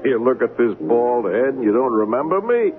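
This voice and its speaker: A man's voice: medium pitch, big pitch swings, brisk, lightly acted. An actor playing a breezy, chummy male ex-convict hustler, talking in cocky slang in a radio drama. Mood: jovial. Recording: muffled old radio broadcast, music.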